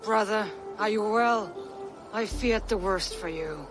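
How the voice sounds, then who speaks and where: A woman playing a wary, hard-bitten Norse mercenary in a game, skeptical and deliberate. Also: medium pitch, music in the background, natural voice. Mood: wary concern. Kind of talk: dramatic game cutscene acting.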